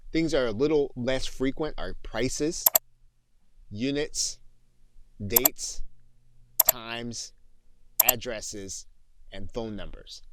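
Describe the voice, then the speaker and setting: A man giving a methodical, clearly enunciated software tutorial as an instructor. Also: fairly low pitch, no echo. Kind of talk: scripted tutorial narration.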